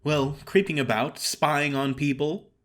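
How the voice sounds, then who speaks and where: A man's voice: fairly high pitch, fairly even intonation, measured pace, a bit bright. A man voicing a small, frank young chorus girl in an audiobook, chatty, earnest and plain-spoken. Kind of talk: audiobook character acting.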